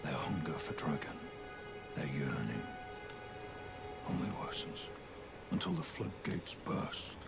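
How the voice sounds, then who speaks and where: An actor playing a solemn older warrior in a fantasy video game, speaking in a measured, formal, ominous way with a gravelly voice. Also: male voice, rumbling low, huge pitch swings, over loud music, heavily acted. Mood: ominous warning.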